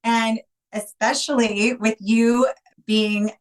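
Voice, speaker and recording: A woman's voice: fairly high pitch, steady tone, takes it slowly, low-quality recording. A woman co-hosting a podcast in a conversational style, speaking to listeners and guests. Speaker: podcast co-host.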